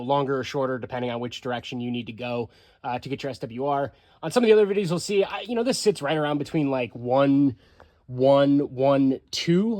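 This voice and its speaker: A man's voice: on the high side, dry recording, studio quality. An American man giving a casual, hands-on gear review in a conversational, matter-of-fact way, recorded in his home attic. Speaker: vlogger, radio hobbyist.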